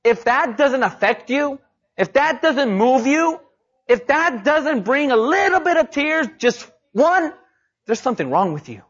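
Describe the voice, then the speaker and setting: A man's voice: extremely high pitch, sing-song, measured pace, fairly bright tone, muffled recording. A man preaching a sermon as a missionary, talking casually and rising emphatically on his key points. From Mexico.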